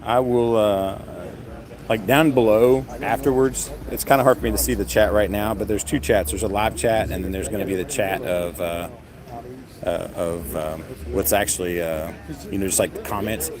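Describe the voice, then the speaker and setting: A low-pitched man talks loosely into his phone while standing in a long outdoor queue, stumbling through restarts and fillers and poking fun at himself. A dense crowd chatters around him the whole time. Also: fairly even intonation, normal speed. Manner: rambling, self-deprecating, frequent fillers and restarts. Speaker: vlogger streaming live. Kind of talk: casual live stream commentary. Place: outdoors in a long festival queue near traffic.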